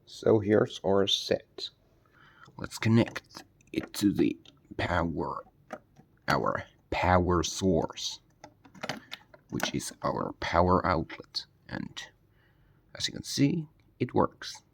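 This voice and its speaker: A man's voice: deep voice, very animated, slow, vocal fry, long pauses, breathy edge. A man hosting a DIY video, giving a casual demonstration and often hesitating as he searches for words.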